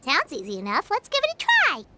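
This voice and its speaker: A woman voicing a small, cheeky game companion with a high, squeaky voice. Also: huge pitch swings, very noisy. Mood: curious. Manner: quick, cheeky, questioning. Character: small floating companion, chirpy and blunt. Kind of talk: game voice acting.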